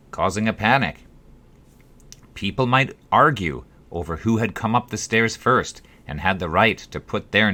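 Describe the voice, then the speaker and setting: A man narrates a first-person story in a low, bright voice. His delivery is scripted and wry, with dry humor. The pitch moves widely from phrase to phrase, and he leaves dramatic pauses. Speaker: author reading his own book.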